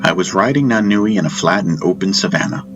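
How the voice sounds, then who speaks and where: Deep-voiced man narrating fantasy. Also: a little fast, muffled recording, loud music behind the voice. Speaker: audiobook narrator. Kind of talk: scripted first-person fantasy narration.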